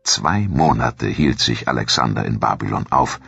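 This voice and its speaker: A man reading a scripted history documentary in a measured, solemn manner. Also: very deep voice, gravelly, a bit bright, slightly rough, no echo. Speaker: documentary narrator.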